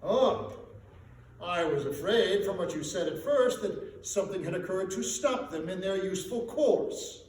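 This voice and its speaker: A man's voice: high-pitched, animated, measured pace, darkish tone. A man giving a dramatic storybook reading as a miserly, scornful old businessman with a barking, contemptuous manner. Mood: sardonic. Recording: echoey room, distant mic, faint noise.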